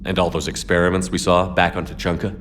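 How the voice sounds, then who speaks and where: An actor playing a human military commander in a video game, terse and probing with calm authority. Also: male voice, deep voice, quick pace, a little hiss or hum. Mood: probing, serious. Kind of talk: video game character dialogue.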